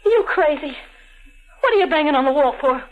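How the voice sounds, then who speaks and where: An actress playing a frightened, pleading gangster's wife with plaintive, slangy delivery in a 1940s radio thriller. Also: female voice, high-pitched, animated, a little fast, slight background noise. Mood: alarmed. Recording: dull old radio broadcast.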